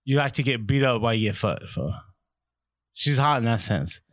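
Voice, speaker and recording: A man's voice: mid-range voice, lively intonation, moderate pace. A married man in casual chat, ranting profanely and stammering when worked up. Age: adult, married.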